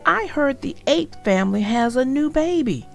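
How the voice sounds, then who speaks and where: A woman's voice: sits high, expressive, normal speed, gravelly, faint music behind, voice pushed up. A woman voicing an anxious young schoolboy in a storybook, an exasperated older brother with an earnest, pleading manner. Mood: coaxing.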